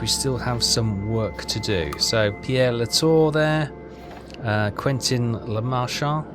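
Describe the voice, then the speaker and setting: A man hosting a casual game walkthrough, hesitant and rambling as he thinks aloud. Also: mid-range voice. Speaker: gaming video host.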